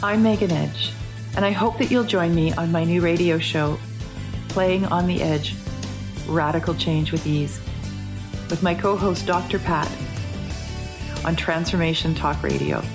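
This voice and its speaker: Woman reading a radio promo, lowish voice, music clearly playing behind her. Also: steady tone, measured pace, pauses often. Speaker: radio show host. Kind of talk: scripted promo read.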